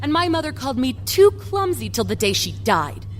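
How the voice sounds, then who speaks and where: A woman's voice: high-pitched, very animated, normal speed, faint music behind, voice pushed up. A woman playing a bitter, resentful adult twin sister in an audio drama, emphatic and aggrieved. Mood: bitter, defensive.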